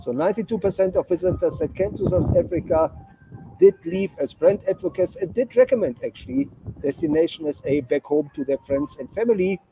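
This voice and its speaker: A man's voice: high-pitched, animated, a little fast, vocal fry, warm tone. A man from Germany with a German accent, a guest explaining his work in a conversational interview and often checking in with "right?". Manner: explanatory, frequent "right?" tags. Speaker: guest, branding consultant. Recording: dull lo-fi sound with faint noise.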